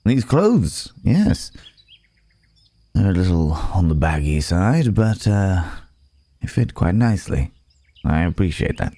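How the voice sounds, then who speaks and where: Gentle werewolf, played by a man, ASMR roleplay: very deep, slow, polite, hesitant, apologetic. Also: big pitch swings, no room sound, heavily acted. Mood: grateful, bashful. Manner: hesitant, halting, polite, soft chuckles, old-fashioned wording. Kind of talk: intimate ASMR audio roleplay monologue.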